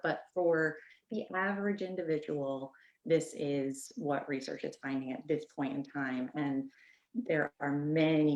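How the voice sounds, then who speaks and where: Deep-voiced woman professor, lively, in a roomy echo. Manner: animated, acts out students' quotes. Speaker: psychology professor. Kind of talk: webinar panel discussion.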